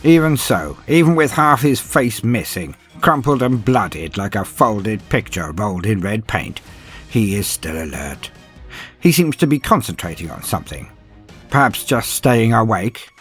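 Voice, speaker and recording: A man's voice: on the low side, very animated, a bit bright, soft background music. A man playing a grim, proud, dryly sardonic inquisitor, narrating a battle in a theatrical, brooding way as a first-person audio drama. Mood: grave, brooding. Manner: theatrical, brooding, with weighty pauses.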